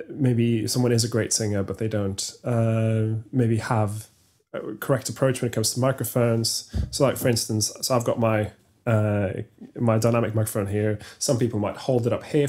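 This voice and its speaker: Young Norwegian-born man, mellow voice. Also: fairly low pitch, fairly even intonation. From Norway. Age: young adult. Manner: measured and explanatory, with hesitations. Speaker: podcast co-host, singer. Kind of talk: casual chat. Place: small room at home. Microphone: headphones with studio mic on video call.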